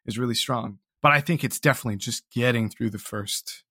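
A man with a mid-pitched voice talks as an interview guest in a close, dry recording. He is reflective and casual, earnest and a little self-deprecating, often restarting his phrases. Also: fairly quick, bright, forceful tone, husky, no echo. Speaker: interview guest, audio drama writer.

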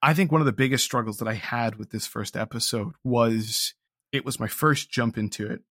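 A man talks reflectively as an interview guest in a mid-pitched voice. He is hesitant and self-deprecating, and the sound is close and dry. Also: normal speed, fairly bright tone, dry recording. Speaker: interview guest, audio drama creator. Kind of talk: casual reflective interview talk.

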